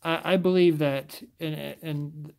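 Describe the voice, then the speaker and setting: A man's voice: on the high side, steady tone, slow, gravelly, a little mellow. A man with a scratchy voice talking casually to camera, often stumbling and restarting his sentences. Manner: stumbles over words, rambling restarts.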